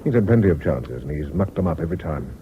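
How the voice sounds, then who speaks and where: An actor playing a worldly, unsentimental British defence lawyer in a radio drama, with dry, measured, educated phrasing. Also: male voice, extremely low pitch, lively intonation. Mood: frank, unsentimental. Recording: dull, noisy old radio recording.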